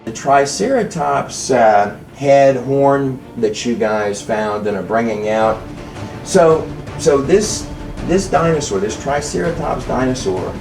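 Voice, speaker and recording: A man's voice: mid-range voice, darkish tone. A man asking interview questions tentatively, circling back and repeating phrases as he goes. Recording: echoey room, distant microphone.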